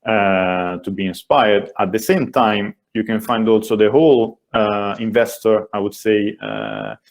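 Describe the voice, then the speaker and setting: A man with an Italian accent, a venture investor answering as a panelist in a webinar in a measured, thoughtful way. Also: on the low side, animated, gravelly. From Italy.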